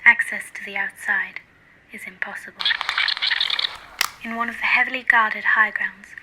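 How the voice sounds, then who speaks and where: A young woman narrating a dystopian radio play as a grave storyteller, in a solemn, measured way. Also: mid-range voice, close to an everyday voice. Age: young adult. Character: grave female narrator of a dystopian near future. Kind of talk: scripted radio drama narration. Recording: clearly noisy recording with clicks.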